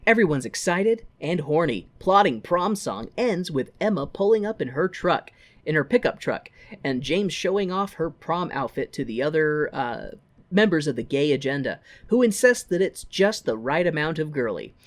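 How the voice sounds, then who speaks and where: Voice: mid-range voice, sing-song, moderate pace. Irreverent podcast co-host, casually recapping. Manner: irreverent, profane, jokey. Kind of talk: casual recap with jokey quotes.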